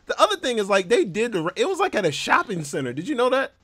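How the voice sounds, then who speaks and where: A man hosting a comedy podcast, riffing in an animated, loud, slangy way. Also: high-pitched, fast, no room sound. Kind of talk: joking podcast banter.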